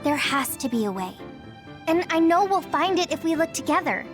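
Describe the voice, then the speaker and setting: A hopeful young female teammate from a video game. Her high voice is earnest and encouraging, with clear music behind it. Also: very animated, moderate pace. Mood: hopeful, determined. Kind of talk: English video game voice acting.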